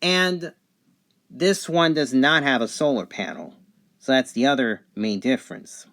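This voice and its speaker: A man giving an unscripted product review, speaking haltingly in short phrases with pauses between them. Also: medium pitch, fairly bright tone. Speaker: gadget reviewer. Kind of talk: unscripted product review, describing features on camera.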